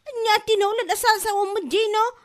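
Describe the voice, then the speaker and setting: Woman playing shrill, snippy rival shopkeeper. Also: steady tone, moderate pace. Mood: boastful. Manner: boastful, scornful. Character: boastful, snippy female rival neighbourhood shopkeeper. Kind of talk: Filipino comedy audio drama.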